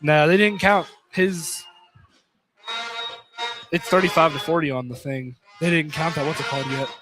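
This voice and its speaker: A young man with a notably high voice calls a college basketball game live on radio. His play-by-play is informal and conversational, with banter aimed at a co-commentator. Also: steady tone, normal speed, over music, poor audio. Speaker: play-by-play announcer. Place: college basketball field house, live broadcast.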